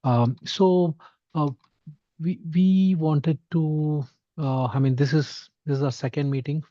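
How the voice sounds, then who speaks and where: A man's voice: mid-range voice, dry recording. A man introducing himself on an online working-group call, speaking hesitantly with many fillers. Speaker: engineer joining a working group. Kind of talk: casual meeting talk. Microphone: video call. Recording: dull lo-fi call audio.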